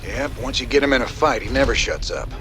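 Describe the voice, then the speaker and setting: Battlefield noise, actor as male rebel commander, mid-pitched voice, dry, weary, giving orders, video game. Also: quick pace, natural voice. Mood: wry, resigned. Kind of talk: video game character acting. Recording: noisy battlefield rumble behind.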